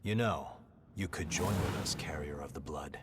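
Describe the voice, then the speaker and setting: An actor playing a menacing, smug male villain in a video game, with a taunting, theatrical and deliberate delivery. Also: a bass voice, over loud music. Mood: sly, intrigued. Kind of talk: dramatic video game voice acting.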